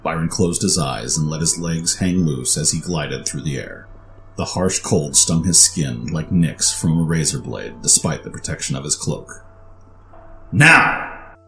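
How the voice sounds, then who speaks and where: A man's voice: very deep voice, moderate pace, soft background music. A man narrating a scripted fantasy story as its storyteller.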